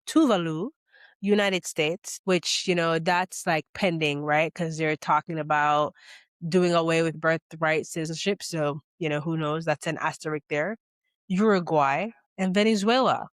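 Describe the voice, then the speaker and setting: A woman's voice: fairly low pitch, dry recording, clean studio sound. A woman from Jamaica giving a casual, chatty explainer as a vlogger, often checking in with "okay?" and "you know". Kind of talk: casual talking-head explainer.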